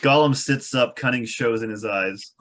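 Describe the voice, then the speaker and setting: A man's voice: medium pitch, normal speed, a bit bright. A man reading out stage directions as narrator at a fantasy script table read, with a wry manner.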